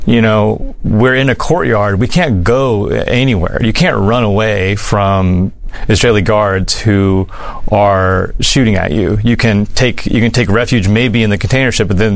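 A man giving an unscripted account as an interview guest, halting and repeating words as he searches for phrasing. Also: fairly low pitch, creaky, no echo. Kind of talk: unscripted recounting in news interview.

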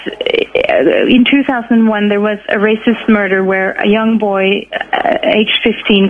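Female analyst, measured explanatory delivery, thin telephone sound. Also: mid-range voice. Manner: measured, explanatory, searching for words. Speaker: expert guest on right-wing extremism. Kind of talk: explanatory live news interview. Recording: narrow, tinny phone line.

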